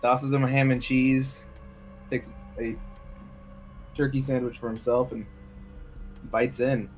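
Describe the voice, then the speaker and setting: A man plays a tabletop roleplaying game with casual, improvised lines and action narration. His voice is medium in pitch, with faint music underneath. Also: level tone, takes it slowly.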